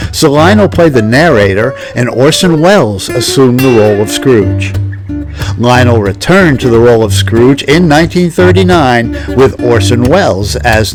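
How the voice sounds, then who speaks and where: An older man narrates a scripted documentary as its presenter. His voice sits at a middle pitch. Plucked guitar music plays clearly behind him.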